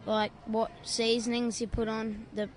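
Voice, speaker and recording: A young speaker answers slowly and hesitantly, in a flat, quiet voice set slightly high. Faint outdoor ambience surrounds it. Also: female voice, a little hiss or hum. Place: outdoor food event.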